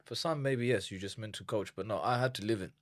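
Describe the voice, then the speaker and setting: A man from Zimbabwe in his thirties speaks in a fairly deep voice as an interview guest. He is lively and chatty, often repeating words mid-thought. The sound is dry and close. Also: fast, no room sound. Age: 30s. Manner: animated, repeats words when thinking. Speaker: nutrition coach guest. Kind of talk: casual interview chat.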